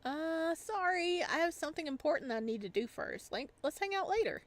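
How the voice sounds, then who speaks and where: A flustered young man from a visual novel, voiced by a woman, pitched high. He is eager and nervous, tripping over himself like someone with a giddy crush. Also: sing-song, a little fast. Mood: hurried, awkward.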